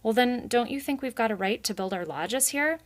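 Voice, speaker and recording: A woman voicing a brisk, self-assured female beaver in a storybook, breezy and unapologetic in her retorts. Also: on the high side, lively intonation, voice pushed up, natural voice. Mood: dismissive.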